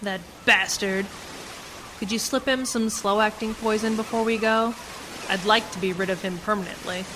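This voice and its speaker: A woman's voice: mid-range voice, steady tone, normal speed, close to an everyday voice. A woman playing a defiant, bitter prisoner in a sci-fi radio play, wary and pointed in her questioning. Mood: bitter, resentful. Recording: noisy community radio drama recording.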